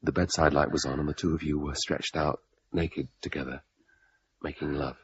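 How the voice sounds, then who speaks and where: An actor playing a wistful, tormented middle-aged father with a British accent, gravelly, husky and halting. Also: extremely low pitch, steady tone, dull audio, a little hiss or hum. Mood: wistful, confessional. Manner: halting, brooding, intense. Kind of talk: radio drama acting.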